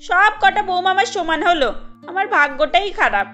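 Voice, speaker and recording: A woman voicing a scolding, old-fashioned mother-in-law in an animated family story, indignant and lamenting. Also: very high-pitched, normal speed, highs cut off, soft background music, exaggerated voice. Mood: exasperated lament.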